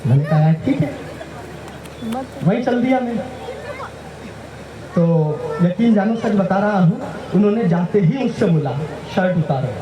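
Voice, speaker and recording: A man's voice: high voice, animated, unhurried, pauses often, highs cut off. A man from Madhya Pradesh performing comic poetry on stage, telling jokes with playful punchlines. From Madhya Pradesh, India. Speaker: stage poet. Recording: echoey live PA sound from far off, crowd noise.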